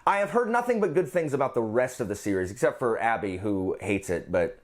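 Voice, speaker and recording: A man's voice: medium pitch, animated, a little fast. A man hosting a book channel, talking casually and enthusiastically to his viewers with jokey asides.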